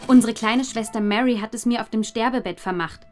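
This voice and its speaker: A woman's voice: a little high, a little fast, close to an everyday voice. An actress playing an indignant, aggrieved teenage girl in a literary radio drama. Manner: complaining, indignant.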